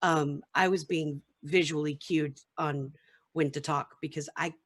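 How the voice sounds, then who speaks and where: A woman with a slightly low voice speaks casually on a group video call. She sounds like a crew member explaining things in an informal panel discussion. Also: steady tone, a little slow. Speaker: radio play cast and technical crew member.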